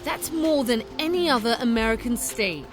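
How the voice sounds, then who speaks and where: A woman's voice: a little high, animated. Woman news presenter over music. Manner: measured, formal delivery. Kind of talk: scripted news narration.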